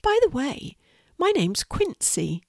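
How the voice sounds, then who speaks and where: A woman voicing a tiny, timid male spider in a children's storybook, gentle and sad, with a plaintive, tentative delivery. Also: sits high, huge pitch swings, takes it slowly, creaky, dry recording, clean studio sound, voice pushed up, heavily acted. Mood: shy, friendly.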